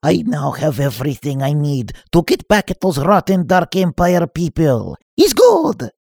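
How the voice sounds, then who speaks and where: A man's voice: fairly high pitch, very animated, measured pace, creaky, darkish tone, slightly rough, voice pushed up, full character voice. Cocky joker herder guy, voice jumped way up, taunty and gleeful, a man doing it. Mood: taunting. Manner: taunting, laughing.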